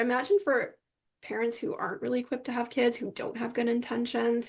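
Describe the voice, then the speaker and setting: A young woman speaks as a guest in a conversational interview, sharing personal testimony. Her voice sits fairly high and carries a lot of creaky fry. She talks in an earnest, emphatic way.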